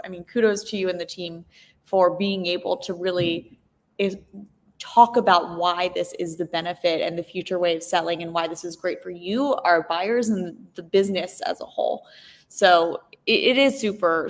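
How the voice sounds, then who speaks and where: A woman hosting a conversational business interview in an informal, enthusiastic way. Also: medium pitch.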